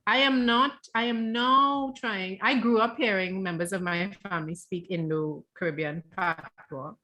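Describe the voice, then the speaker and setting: A woman's voice: mid-range voice, steady tone, normal speed, bright, forceful tone. A Trinidadian woman, a guest author, explaining her writing thoughtfully in a casual book club chat over a video call, often restarting her phrases.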